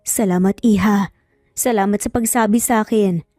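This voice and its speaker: A woman's voice: mid-range voice, steady tone, moderate pace, mellow, dry recording, clean studio sound, soft background music, lightly acted. A woman voicing a tearful, trembling elderly rich grandmother in an audio story. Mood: sad, grateful. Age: older. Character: grief-stricken elderly wealthy grandmother.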